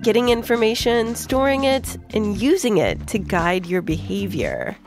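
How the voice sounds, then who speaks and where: A woman hosting a kids' science podcast, explaining things clearly and simply in a conversational way. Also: medium pitch, sing-song, a little slow, music in the background. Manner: clear simple explaining, addressing young listeners. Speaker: podcast host.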